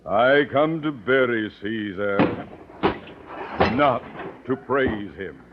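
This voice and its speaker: A man's voice: mid-range voice, expressive, very slow. A man playing a pompous, irritable, scheming middle-aged principal in a 1950s radio sitcom. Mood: smug. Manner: pompous, blustering. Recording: muffled, noisy 1950s radio recording.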